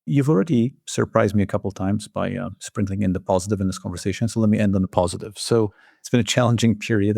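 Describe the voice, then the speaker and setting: A man's voice: lowish voice, vocal fry, darkish tone, husky, dry recording, clean studio sound. A man hosting a podcast interview, putting questions to a guest and giving the sign-off. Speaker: podcast host, journal editor.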